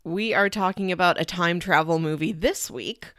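A woman's voice: lowish voice, normal speed, a bit bright, no echo, studio quality. A woman co-hosting a podcast, chatting casually about movies. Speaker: podcast co-host. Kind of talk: casual movie discussion chat.

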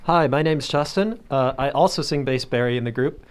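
A young college man speaking in a radio studio at an ordinary male pitch. He introduces himself casually and with a touch of sheepishness. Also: moderate pace, smooth. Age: young adult.

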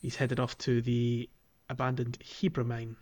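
An easygoing man with a mid-range voice co-hosts a casual game podcast. He agrees readily, chuckles, and fills pauses with ums. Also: medium pitch, monotone, a little mellow. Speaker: podcast co-host. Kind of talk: casual chat about a video game.

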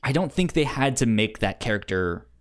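A man co-hosting a casual review podcast, halting and stumbling over the starts of words. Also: mid-range voice, expressive. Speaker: podcast co-host reviewing anime.

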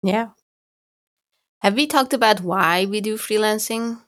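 A woman with a Hungarian accent, co-hosting a podcast from home, talking things through thoughtfully and pausing to find her words. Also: medium pitch, a bit bright. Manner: thoughtful, searching for words with restarts. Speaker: podcast co-host. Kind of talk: casual conversation.

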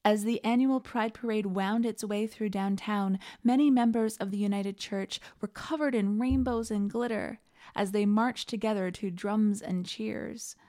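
A woman's voice: mid-range voice, steady tone, unhurried, dark tone. A woman hosting a podcast, reading a scripted introduction. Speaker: podcast host.

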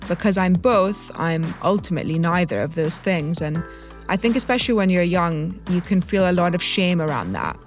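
A woman, born in Egypt, reading her podcast introduction in measured scripted narration. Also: fairly low pitch, expressive. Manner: measured, clearly read intros. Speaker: podcast host, journalist. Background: faint music.

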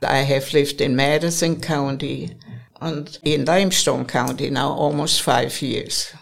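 A woman in her eighties from Bavaria with a German accent, slowly and chattily telling her life story as an interviewee. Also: extremely low pitch, steady tone, creaky. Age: 80s. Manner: slow, chatty reminiscing with occasional laughs. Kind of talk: personal storytelling.

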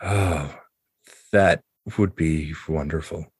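A man's voice: very deep voice, slow, slightly rough, dry recording, studio quality, full character voice. A man voicing a roguish, flirtatious ghost baron in a wry, playful manner. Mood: amused, cheeky.

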